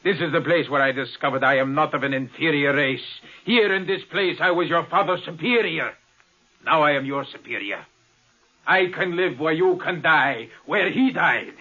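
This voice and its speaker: An actor playing a cold, secretive, proud space explorer in 1950s radio drama, clipped and commanding. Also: male voice, on the high side, normal speed, pushed and bright. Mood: cold, superior. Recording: noisy, muffled 1950s radio broadcast.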